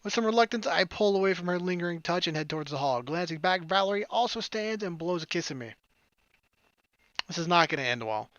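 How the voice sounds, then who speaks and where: A man's voice: high voice, lively intonation, brisk, fairly bright tone, no room sound, highs cut off. Casual, wry man reading a game aloud. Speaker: gaming video host reading the game aloud. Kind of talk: reading visual novel narration with casual commentary. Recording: game music behind the voice. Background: game music.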